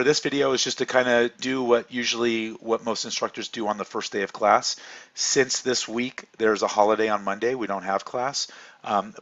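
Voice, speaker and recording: A man with a hoarse voice from a cold, a chemistry instructor giving a relaxed, rambling course introduction full of fillers. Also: lowish voice, muffled recording.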